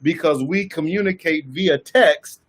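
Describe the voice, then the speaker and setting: Guest husband, lively storyteller, voice sits a bit high. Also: male voice, a little slow. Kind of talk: casual conversation.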